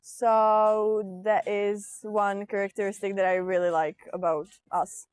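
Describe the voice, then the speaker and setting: A young woman in her early twenties from Czechia answers an interviewer in an unhurried way, full of pauses and fillers. Her mid-range voice is recorded close and dry, with no room sound. She speaks slowly and casually. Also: mid-range voice, level tone, darkish tone, dry recording. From Czech Republic. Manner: hesitant, many fillers. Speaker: exchange participant.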